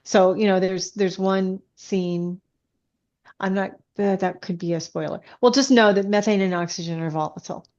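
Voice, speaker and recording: A woman's voice: mid-range voice, fairly even intonation, normal speed, a little mellow, muffled recording. A woman speaking as an interviewed author, chatting informally and telling stories with frequent asides. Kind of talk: casual conversational interview.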